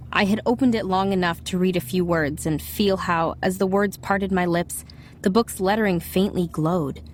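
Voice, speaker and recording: A woman's voice: medium pitch, darkish tone, a little hiss or hum, natural voice. A woman with an American accent voicing a defiant schoolgirl who narrates her adventure in an audio drama, confiding and dramatic.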